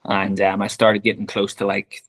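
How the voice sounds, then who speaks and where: A man with a Northern Irish accent speaks in a lowish voice with a gravelly, creaky texture. His talk is earnest and heartfelt, and he often circles back with "very much like" as he reflects in a casual interview. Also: completely flat delivery, moderate pace. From Northern Ireland. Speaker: golf director, podcast guest.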